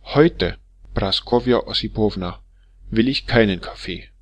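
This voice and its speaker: A man's voice: lowish voice, unhurried, no room sound, close to an everyday voice. A man voicing a timid, bewildered middle-aged barber who mumbles hesitantly in a storybook reading.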